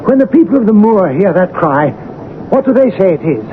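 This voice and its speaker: An actor playing an agitated, insistent gentleman in a 1970s radio drama. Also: high voice, sing-song, a little fast. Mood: alarmed, demanding. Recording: tinny old radio broadcast, faint noise.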